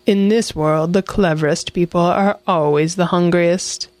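A hungry storybook wolf, greedy and rude, cutting others off with blunt impatience, as a woman reader plays him. The tone stays low and heavy, close to the reader's own deep voice. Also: steady tone, unhurried, a little mellow, dry recording, close to an everyday voice.

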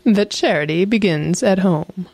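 A woman's voice: lowish voice, measured pace, vocal fry, darkish tone, no echo. A woman voicing a greedy, hungry male wolf who talks bluntly and impatiently.

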